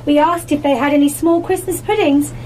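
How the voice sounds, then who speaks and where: An amiable, nostalgic lady acted by a woman in a radio play. She speaks in a high voice over faint background noise. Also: steady tone, brisk, darkish tone, close to an everyday voice. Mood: friendly. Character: amiable, nostalgic female holiday companion. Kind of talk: live amateur radio play acting.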